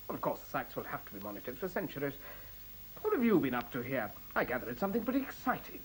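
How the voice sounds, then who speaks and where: An actor playing a self-assured, wry middle-aged scientist in a science fiction radio play, talking in a matter-of-fact way. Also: male voice, medium pitch, huge pitch swings, fast talker, slight background noise, low-quality recording.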